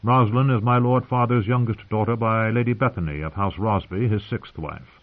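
Casting: smooth-tongued noble envoy acted by a male audiobook reader, formal, insistent, courteous; thin phone-like recording. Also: lowish voice, normal speed, pitched above the performer's own voice, close to an everyday voice. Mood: courteous, measured. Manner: formal, diplomatic, politely insistent.

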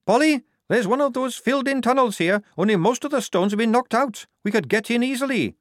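A man's voice: extremely high pitch, animated, a little fast, no echo, studio quality, voice pushed up. A man voicing a brash, blunt young woman soldier who talks in a pushy way.

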